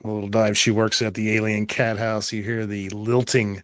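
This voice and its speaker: A man serving as game master, narrating tabletop roleplay scenes in a deliberate, evocative way. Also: on the low side, flat delivery, fairly bright tone, no room sound, clean studio sound.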